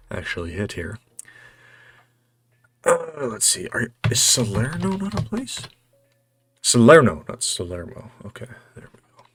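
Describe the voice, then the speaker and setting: Slow, low-voiced North American man muttering. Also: slight background noise. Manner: muttering to himself, questioning, hesitant. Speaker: host playing a geography quiz challenge. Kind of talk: casual think-aloud game commentary. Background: music, computer keyboard typing.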